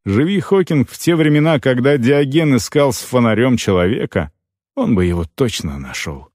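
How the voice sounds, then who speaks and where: A man reading a fantasy novel in the first person as an audiobook narrator, with an expressive, dramatic storytelling delivery. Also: mid-range voice, moderate pace, dry recording, clean studio sound.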